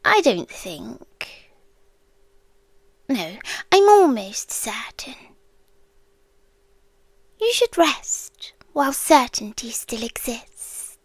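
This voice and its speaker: British woman doing an ancient doomsday girlfriend thing, calm n clingy, high soft half whispered asmr voice, super slow. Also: slightly rough, dry recording, heavily acted. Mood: soothing, eerily calm. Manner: slow, hushed, deliberate delivery. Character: ancient female apocalyptic being, calm possessive doting girlfriend.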